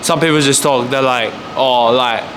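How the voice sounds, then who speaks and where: A man's voice: medium pitch, steady tone, moderate pace, noisy background. A man in casual podcast chat with very slangy speech, full of fillers and mimicked remarks. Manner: slangy, says "hella", "like" and "bro", mimics critics.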